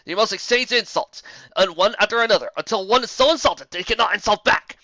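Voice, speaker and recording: A woman's voice: medium pitch, brisk, dry recording, pitched above the performer's own voice, natural voice. Lady doing an old griffin announcer guy, big proclaiming delivery, storybook style, kinda dull recording. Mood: official, proclaiming. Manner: loud, declamatory ring announcer. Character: stocky old male griffin announcer, booming and official.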